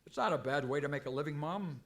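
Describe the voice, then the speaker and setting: An actor playing a vain, easily flustered cowboy hero in an old time radio comedy. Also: male voice, fairly high pitch, fast, close to an everyday voice. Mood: relieved, wry.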